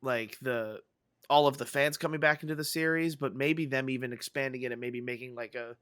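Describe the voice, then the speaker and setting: A man hosting a casual gaming podcast, his voice soft and hoarse from having lost it, rambling enthusiastically with fillers. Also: medium pitch, fairly even intonation, a little fast, dry recording, studio quality. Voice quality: soft, breathy. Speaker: podcast host.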